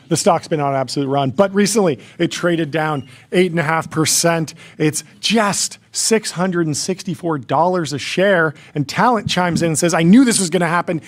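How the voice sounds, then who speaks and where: A man hosting a talk show, quick with chummy interjections and jokes. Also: fairly high pitch. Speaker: host. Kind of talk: casual chat.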